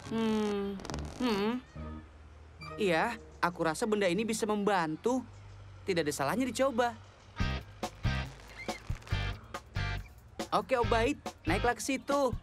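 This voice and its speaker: A woman plays a self-assured cartoon boy of about twelve, full of schemes and reassurance. The voice is mid-pitched for a woman and youthful. Music plays clearly behind it. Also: unhurried, long pauses, natural voice. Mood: confident, musing. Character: confident, inventive schoolboy, around twelve, upbeat schemer. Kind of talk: animated children's cartoon dub.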